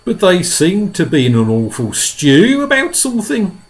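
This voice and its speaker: A huge, grinning centipede from a storybook, voiced by a man. He is cheeky and breezy, calling out loudly in a lively, raised voice. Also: high voice, moderate pace, pitched above the performer's own voice.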